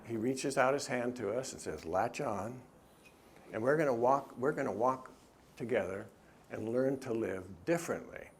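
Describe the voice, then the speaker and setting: Brief: middle-aged man, author at a church talk, earnest, halting, medium pitch, faint noise behind. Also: lively intonation. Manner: earnest, often halting with restarts. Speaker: author and speaker. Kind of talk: church talk with questions from the audience.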